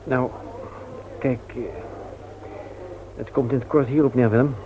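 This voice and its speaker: An actor playing an earnest, reserved widowed father in a radio play, speaking in a hesitant, halting way. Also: mid-range voice, steady tone, close to an everyday voice. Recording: tinny old radio recording with hiss.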